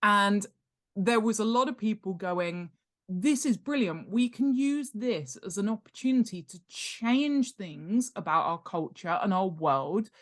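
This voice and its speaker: A middle-aged woman speaks as an expert guest in an informal interview. Her voice sits at a medium pitch. She explains emphatically and keeps checking that the listener follows. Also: a little slow. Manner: animated, emphatic, checks in with "right?" and "okay?". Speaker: guest author and world-building expert.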